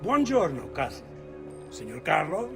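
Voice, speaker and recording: A man's voice: fairly high pitch, huge pitch swings, moderate pace, gravelly, music in the background, exaggerated voice. A man playing an urgent, obsequious noble conspirator with an Italian accent in a game. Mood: alarmed. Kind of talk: cinematic game acting.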